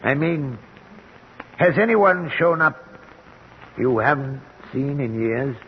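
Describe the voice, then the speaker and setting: A hoarse, congested middle-aged recluse, suspicious and haltingly insistent. His pitch swings as he defends his crumbling sanity. It is a dull, noisy vintage radio drama recording. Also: expressive. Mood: uneasy, bitter. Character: agitated reclusive middle-aged man losing his grip on reality. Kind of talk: old-time radio drama acting.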